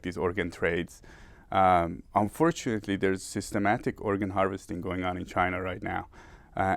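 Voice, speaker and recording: Earnest deep-voiced male guest from Iran. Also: no room sound. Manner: earnest, stumbling restarts. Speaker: company CEO guest. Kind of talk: interview talk.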